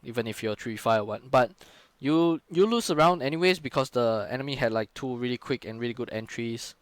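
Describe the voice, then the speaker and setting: A young man talks loosely through a game replay, swearing freely and dropping plenty of fillers. His voice sits at an ordinary middle pitch. The sound is dry and close, with no room around it. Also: fairly quick, dry recording. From Singapore. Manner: casual, frequent swearing and fillers. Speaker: streamer and in-game leader. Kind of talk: casual live-stream game analysis.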